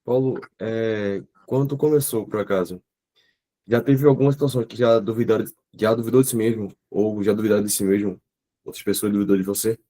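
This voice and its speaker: A man with a Brazilian accent, his voice fairly low and mellow. He asks a casual question from the floor, haltingly, rewording it as he goes. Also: steady tone. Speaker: audience member asking questions.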